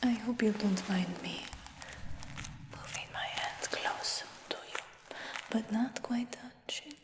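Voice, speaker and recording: A woman whispers in a soft, coaxing tone, lingering over repeated words for ASMR. She speaks extremely slowly, each phrase drawn out. Her voice hangs in a roomy space with a long, trailing reverb. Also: fairly high pitch. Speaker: ASMR roleplayer. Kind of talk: whispered ASMR fantasy roleplay.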